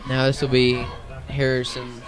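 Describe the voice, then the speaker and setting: A man's voice: mid-range voice, completely flat delivery, unhurried, low-quality recording. A man doing live radio play-by-play of a football game outdoors, in short clipped phrases. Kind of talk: live radio sports commentary.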